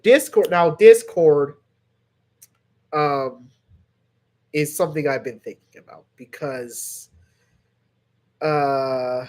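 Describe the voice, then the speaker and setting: Male online host, voice a little on the high side, loose and chummy, rambling through casual chat with fans. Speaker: host of a fan series channel answering questions.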